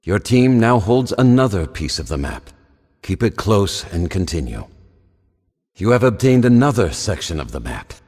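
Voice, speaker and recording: A man's voice: lowish voice, very animated, normal speed, a little mellow, breathy edge. A male actor playing a grave, scholarly professor who narrates a video game in a solemn, formal way. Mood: approving, measured. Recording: long echoing reverb.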